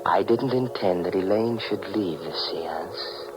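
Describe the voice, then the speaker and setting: An actor playing a scheming, paranoid killer in a melodramatic, intense 1940s radio drama style. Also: male voice, sits low, unhurried, over music, rough recording. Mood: hushed suspense. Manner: intense, melodramatic, dropping to hushed confiding. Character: scheming, paranoid male killer convinced of his immortality.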